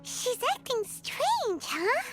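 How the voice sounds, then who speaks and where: A woman voicing a tiny, childlike, excitable fairy companion in a video game, with a squeaky, expressive and nervous way of talking. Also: slow, music in the background, exaggerated voice. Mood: puzzled, uneasy. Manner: expressive, talks of herself in third person.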